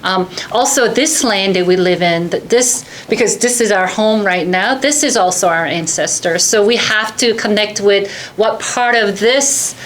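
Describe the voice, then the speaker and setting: A woman's voice: mid-range voice, unhurried, few pauses, slight background noise. A woman speaking as a shaman on a panel, explaining things in a direct, plain way. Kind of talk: panel discussion.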